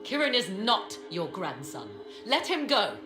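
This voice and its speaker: A woman's voice: mid-range voice, very animated, a little slow. An actress playing a proud, mysterious sorceress and mother in a fantasy game, speaking in formal, archaic, theatrical phrases. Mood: angry, defiant. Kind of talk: dramatic fantasy game acting. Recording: echoey, with music behind.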